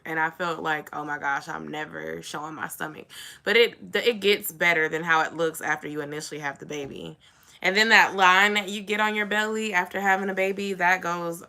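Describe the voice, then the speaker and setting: Raspy, bright-voiced woman chatting. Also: fairly low pitch. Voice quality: somewhat raspy. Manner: chatty, says "y'all" and "like" often. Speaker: vlogger. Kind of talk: casual chat to camera.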